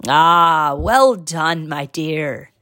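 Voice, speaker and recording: A woman voicing a patient, encouraging male master potter who teaches in a measured, instructive way. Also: low-pitched, lively intonation, sharp tone, no room sound, clean studio sound, pitched below the performer's own voice, heavily acted. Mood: admiring.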